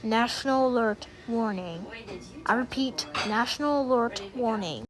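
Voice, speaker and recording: A woman reading a scripted mock emergency broadcast announcement in a formal, deliberate, instructional manner. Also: a little high, soft background music.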